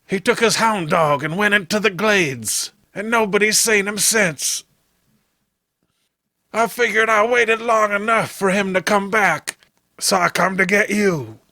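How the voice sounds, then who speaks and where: A man's voice: high-pitched, a little slow, sharp tone, no room sound, clean studio sound, voice pushed up. A man voicing an anguished, grieving backwoods swamp man in a horror story, with folksy rural speech and an overwrought, pleading manner. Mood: worried, urgent.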